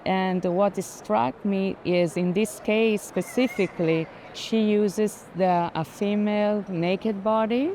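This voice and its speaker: A woman who is a non-native English speaker, giving conversational commentary on art as a guest and pausing to search for words. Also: mid-range voice, steady tone, warm tone, poor audio. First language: not English. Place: indoor art fair, on the move. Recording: light ambient noise of a busy fair.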